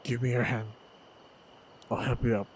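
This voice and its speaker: A man playing a calm, caring monster hunter in a fantasy audio roleplay, speaking in a measured, gentle way. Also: mid-range voice, a little hiss or hum, lightly acted. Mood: protective, reassuring. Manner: measured, deliberate, gentle storytelling delivery.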